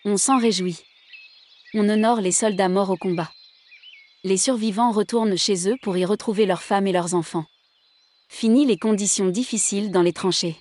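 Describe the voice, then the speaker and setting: A woman's voice: medium pitch, darkish tone, natural voice. A woman playing an observant long-time captive and confidante in an audio drama. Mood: solemn reading.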